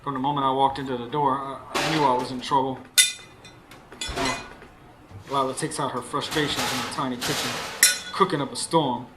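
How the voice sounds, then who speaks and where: An actor playing an earnest, scheming Southern husband in a radio drama, with a folksy, confiding way of talking. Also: male voice, medium pitch, flat delivery, normal speed, pauses often, natural voice. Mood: confiding, rueful. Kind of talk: radio drama acting with first-person narration. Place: stage before a live studio audience. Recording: live radio show with clear background noise.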